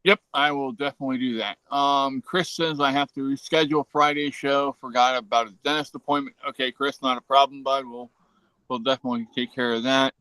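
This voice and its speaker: An easygoing American man chats as host of a home livestream, loose and informal, dropping the odd curse. His voice is plain and mid-pitched, recorded dry and close with no room around it. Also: steady tone, fairly quick, pushed and bright, smooth, no echo, poor audio. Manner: easygoing, swears casually, reads chat aloud. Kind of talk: casual chat.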